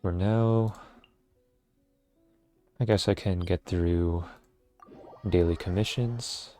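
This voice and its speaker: A man giving casual, low-key commentary as he plays a game, thinking aloud as he goes. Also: takes it slowly, mellow. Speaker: game streamer. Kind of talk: casual solo gameplay commentary. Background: video game music.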